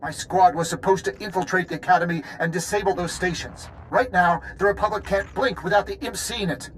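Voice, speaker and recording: Man acting high-voiced, emphatic military squad leader. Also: brisk, slight background noise, natural voice, low-quality recording. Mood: urgent, exasperated. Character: embattled Republic squad leader, urgent, loyal and grateful. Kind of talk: cinematic video game voice acting. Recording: music behind the voice.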